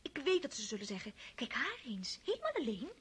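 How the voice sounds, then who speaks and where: A woman's voice: sits high, wildly swooping pitch, fairly quick, fairly bright tone, a little hiss or hum, full character voice. An actress playing a proud, heartbroken young woman who speaks tearfully and often in a whisper in a radio drama. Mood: distressed. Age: young adult. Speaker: daughter in a radio play.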